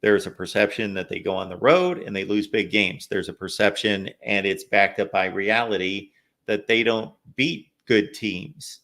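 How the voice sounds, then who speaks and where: A man hosting a live call-in sports show, a little raspy from no sleep, chatting through viewer comments and game analysis. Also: on the low side, moderate pace, fairly bright tone. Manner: reads viewer comments aloud, conversational analysis. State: slightly raspy from lack of sleep. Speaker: host.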